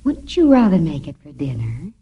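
An actress playing an adoring, flirtatious office secretary in a coy, cooing manner for a 1950s radio comedy. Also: female voice, low voice, huge pitch swings, normal speed. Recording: muffled, very noisy old radio recording.

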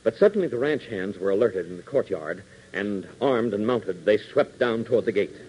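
A man's voice: lowish voice, animated, moderate pace, mellow, husky. An American man narrating a 1940s radio adventure serial in a dramatic, declamatory announcer style. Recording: muffled, hissy 1940s radio transcription.

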